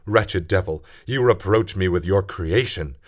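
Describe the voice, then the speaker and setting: Raging young scientist read by a man, declamatory storybook outburst, deep-voiced. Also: unhurried. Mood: furious. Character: enraged young male scientist cursing his creation.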